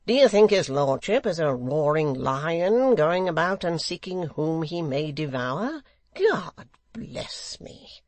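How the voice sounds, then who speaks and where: A reader voicing a meek but severe male secretary, a sly, sanctimonious flatterer with unctuous, drawn-out emphasis. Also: medium pitch, no echo. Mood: mock indignation.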